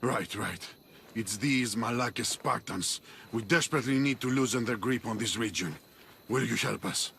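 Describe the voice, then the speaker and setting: An actor playing an earnest, embattled rebel in a video game, urgent and forthright. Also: male voice, medium pitch, moderate pace, vocal fry, a bit bright, slight background noise. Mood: surprised, grateful. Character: earnest embattled male Greek rebel fighter. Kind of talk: dramatic video game acting.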